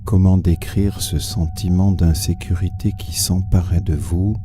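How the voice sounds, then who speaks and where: An actor playing a haunted, brooding man who narrates his uneasy memories in a solemn, measured literary reading. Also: deep voice, fairly even intonation, mellow, a little hiss or hum. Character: haunted, brooding man recalling an uncanny old house. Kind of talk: dramatised literary reading with sound design.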